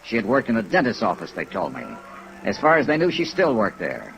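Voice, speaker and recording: A dry, persistent male investigator from a vintage radio detective show. He talks briskly and matter-of-factly with clipped, wry phrasing. There is faint noise on the old recording. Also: fairly low pitch, wildly swooping pitch, gravelly, fairly bright tone, heavily acted, poor audio. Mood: matter-of-fact narrating. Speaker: lead character and narrator. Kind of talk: 1950s radio detective acting.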